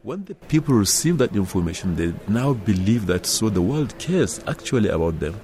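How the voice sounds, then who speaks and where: A man's voice: on the low side, sing-song, moderate pace, mellow, a little hiss or hum. A man, a radio editor-in-chief, speaking in an interview soundbite, searching for words and repeating phrases.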